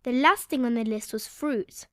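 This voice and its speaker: A woman narrating a children's read-aloud story in an expressive, measured storytelling manner. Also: a little high, dry recording, studio quality.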